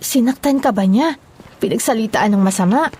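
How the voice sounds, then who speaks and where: A woman's voice: a little high, fairly quick, a little mellow, slight background noise, close to an everyday voice. A woman playing a concerned, matter-of-fact young Filipina wife in an audio story, conversational. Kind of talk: audio story drama dialogue.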